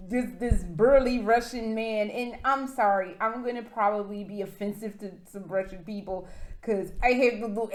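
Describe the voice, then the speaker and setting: A woman vlogging casually about a video game in an excitable, profane, rambling way. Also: high-pitched. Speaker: gaming vlogger. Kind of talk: casual vlog recap.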